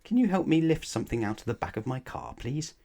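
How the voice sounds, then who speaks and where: A man's voice: fairly high pitch, big pitch swings, fast, dark tone, pitched above the performer's own voice. Man voicing a cheerful greedy little lady. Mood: cheerful, friendly. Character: cheerful, contented, food-loving little storybook lady.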